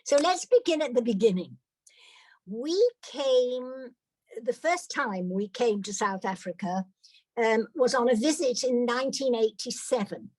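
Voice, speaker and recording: A woman's voice: on the high side, a little slow, a little mellow, no room sound, poor audio. An older British woman giving a personal talk to an audience, hesitant, with many fillers and restarts. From Britain. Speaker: guest speaker.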